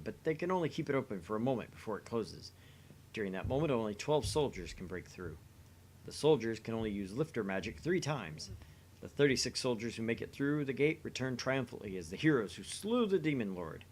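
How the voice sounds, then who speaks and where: A man's voice: mid-range voice, slight background noise. A man doing an acted reading of dialogue and narration from a visual novel game. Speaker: voice reader in a visual novel playthrough.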